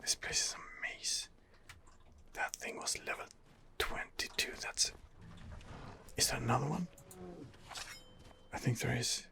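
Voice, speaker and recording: A man hosting an ASMR game playthrough, muttering hesitant commentary in a breathy whisper. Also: mid-range voice, wildly swooping pitch, unhurried, pauses often. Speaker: gaming video host.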